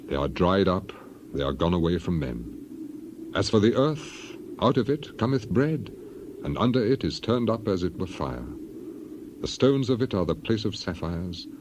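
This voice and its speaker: An actor playing Job, a solemn suffering man of the Old Testament, in a dramatized King James Bible reading, with grave, declamatory, measured phrasing. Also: on the low side. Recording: clearly noisy, birds and ambience behind.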